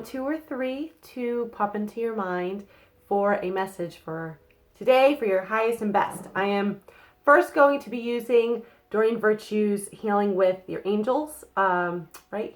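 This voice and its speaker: A young woman with a cold and a slightly nasal voice, giving a casual card reading to her viewers with lots of fillers and quick "okay?" checks. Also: a little high. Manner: chatty, many fillers and tag questions. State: has a cold. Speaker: psychic card reader. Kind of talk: casual tarot-style reading to camera.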